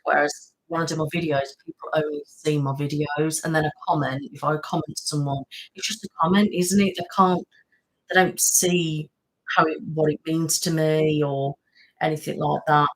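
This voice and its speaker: A woman's voice: low-pitched, fairly even intonation, moderate pace, low-quality recording. A woman hosting a casual live stream from home, chatting on at length and answering viewers' comments. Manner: rambling, chatty, waffles on. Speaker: live-streaming channel host.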